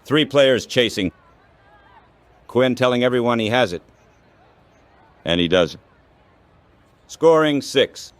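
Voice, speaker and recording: A man's voice: mid-range voice, lively intonation, slow, pauses often, dry recording. A man's synthetic text-to-speech voice calling baseball play-by-play in short, clipped phrases. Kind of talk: baseball radio play-by-play commentary.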